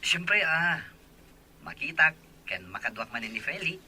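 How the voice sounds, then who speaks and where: A man acting a sincere young working man in love in a radio drama, soft-hearted and earnest. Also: a little high, big pitch swings, a little slow, slightly rough, exaggerated voice. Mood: happy.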